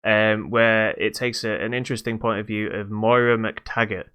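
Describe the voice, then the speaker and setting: A man co-hosting a podcast, reviewing games in casual chat with halting explanations that trail into dismissive asides. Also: on the low side, fairly even intonation, clean tone, lo-fi sound. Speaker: podcast co-host.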